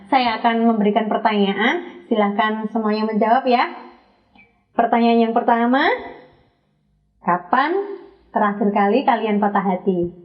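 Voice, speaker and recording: Higher-voiced woman hosting a podcast, distant mic. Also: a little slow, pauses often, dull audio. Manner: friendly, leading questions, laughs often. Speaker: podcast host. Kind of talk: hosting a casual podcast chat.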